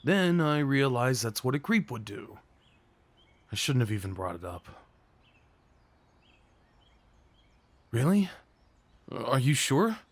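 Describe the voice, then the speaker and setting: A man's voice: mid-range voice, big pitch swings, a little slow, no echo. Man acting as a shy, sweet, nervous date. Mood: flustered, hesitant. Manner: earnest, hesitant, apologetic, tender. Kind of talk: ASMR roleplay, intimate scripted acting.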